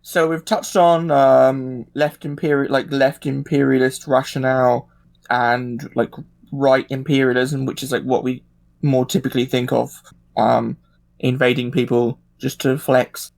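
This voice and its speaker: A man hosts a casual discussion in a mid-pitched voice with plenty of vocal fry. He talks haltingly, feeling his way through each thought. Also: little pitch movement, a little mellow. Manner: halting, thinking aloud.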